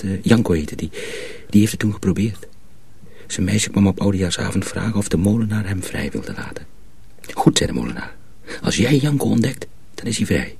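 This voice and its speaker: An actor playing a cautious, secretive young miller's apprentice in a radio drama, husky and often hushed, explaining things deliberately. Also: male voice, on the low side, full character voice. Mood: sombre storytelling. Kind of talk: Dutch radio drama acting.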